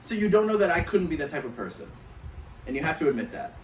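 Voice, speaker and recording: A man co-hosting a comedy podcast, trading crude jokes with loud bursts of laughter. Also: fairly high pitch, big pitch swings, quick pace, reverberant, recorded from a distance, a little hiss or hum, rough recording. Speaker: podcast co-host.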